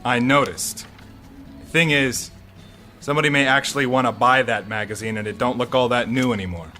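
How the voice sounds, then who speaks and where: Man playing sharp-voiced, sardonic store clerk. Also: mid-range voice, steady tone, moderate pace, soft background music, lightly acted. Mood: sardonic irritation. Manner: sardonic, folksy, grudging. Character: gruff, sarcastic male hardware store clerk. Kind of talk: radio drama acting.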